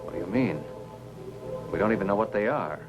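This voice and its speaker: A man's voice: on the low side, expressive, brisk, lo-fi sound, over music, lightly acted. An American actor playing a stern, pragmatic man who takes command, in 1950s science fiction film style. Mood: grave. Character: stern, pragmatic man leading a monster hunt. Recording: hissy, humming 1950s film soundtrack.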